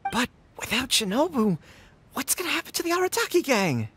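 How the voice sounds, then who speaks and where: Blunt, excitable young gang sidekick. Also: female voice, high-pitched, fairly quick, a little hiss or hum. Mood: alarmed. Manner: blunt, slangy. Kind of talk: video game voice acting.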